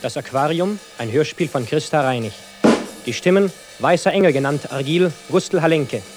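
A man formally announcing a radio play and its cast in a measured, precise delivery. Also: a little high. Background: loud music with drums.

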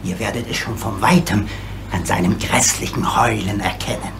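An actor playing a gentle, courteous, lonely old giant in a radio play, explaining things in a theatrically expressive way. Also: male voice, fairly low pitch, moderate pace, background noise, close to an everyday voice. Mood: ominous warning.

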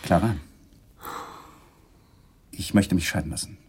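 An actor playing a bitter, weary husband who wants a divorce, with a cold, contemptuous manner, in a German radio play. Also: male voice, sits low, takes it slowly, darkish tone, slight background noise. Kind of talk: 1980s German radio drama acting.

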